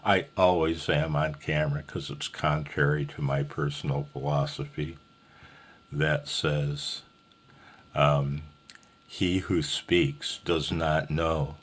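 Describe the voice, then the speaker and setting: A man's voice: rumbling low, little pitch movement, slow pace, husky. A man giving a casual, rambling amateur radio tutorial in a folksy, self-deprecating, slightly nervous way.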